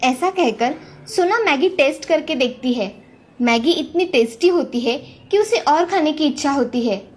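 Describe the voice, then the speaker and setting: A woman's voice: high voice, animated, a little slow. A woman reading a scripted moral story as narrator.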